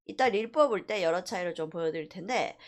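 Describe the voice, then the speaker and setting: A woman instructor giving an informal software tutorial, explaining step by step and stressing key points. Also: fairly low pitch, expressive, quick pace. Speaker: university animation instructor.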